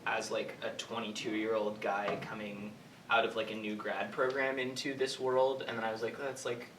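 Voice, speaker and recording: A man's voice: lowish voice, flat delivery, moderate pace. A man in his twenties giving informal, rambling answers as a writer at an audience Q&A. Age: 20s. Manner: hesitant, rambling, heavy use of "like". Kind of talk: informal Q&A talk. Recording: echoey room, distant mic, noisy.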